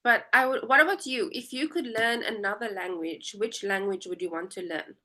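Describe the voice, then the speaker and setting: A woman's voice: mid-range voice, moderate pace, pushed and bright. A woman in her twenties with a South African accent, chatting as an English tutor on a video call and explaining things patiently. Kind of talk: casual video-call conversation.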